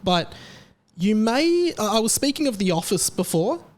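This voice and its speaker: A man hosting a podcast, talking in a chatty, excitable way with lively jumps in his voice. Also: high-pitched, normal speed. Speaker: podcast host. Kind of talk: casual chat.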